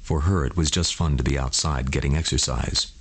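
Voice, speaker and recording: A man with a husky voice narrating a scripted bedtime story for adults. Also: extremely low pitch, a little fast.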